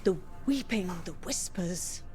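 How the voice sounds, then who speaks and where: A woman's voice: mid-range voice, lively intonation, slow pace, a little mellow, soft background music. An actress playing a weakened, frightened female dwarf patrol survivor, dazed, in a video game. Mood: haunted.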